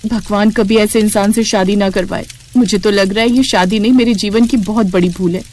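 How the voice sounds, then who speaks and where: A woman's voice: medium pitch, steady tone, a little fast, darkish tone, close to an everyday voice. A woman voicing a young newlywed daughter-in-law, questioning and put-upon, in a cartoon moral story. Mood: resentful.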